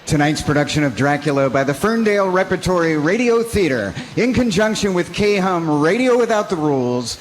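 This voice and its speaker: A man's voice: a little high, slight background noise, poor audio. A man announcing a radio drama in a theatrical, formal showman's style like old-time radio.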